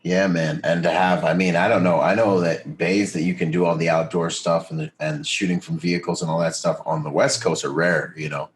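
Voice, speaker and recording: A man's voice: deep voice, flat delivery, brisk, hardly any pauses, a little hiss or hum. An American man hosting a podcast in casual, enthusiastic, slangy and emphatic conversation. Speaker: podcast host. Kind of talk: casual interview chat.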